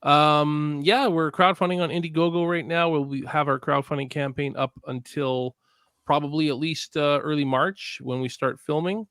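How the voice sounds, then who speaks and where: A man's voice: on the high side, fairly even intonation, normal speed, fairly bright tone, no room sound, clean studio sound. A man from Canada, a filmmaker guest chatting casually on a podcast, laughing often and swearing freely.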